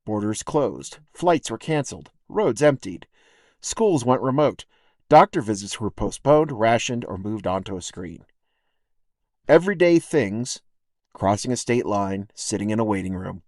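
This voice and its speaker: A man reads a scripted audio essay in a wry, plain-spoken, colloquial way, setting off short phrases with deliberate pauses. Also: mid-range voice, a little mellow, dry recording, clean studio sound. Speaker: podcast writer and host.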